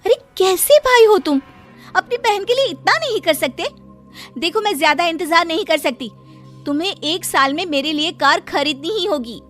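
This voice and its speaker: A woman's voice: very high voice, expressive, normal speed, a bit bright, faint music behind, pitched above the performer's own voice. A woman voicing a demanding, spoiled young sister who whines and nags in a cartoon story. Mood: petulant. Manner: petulant, nagging.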